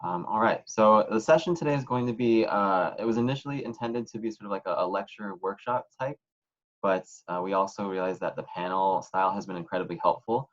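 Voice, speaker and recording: A young man in his twenties hosting an online student panel over a video call. He talks in a relaxed way, with many ums and uhs. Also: lowish voice, fairly quick. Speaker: panel moderator. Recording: muffled video call audio.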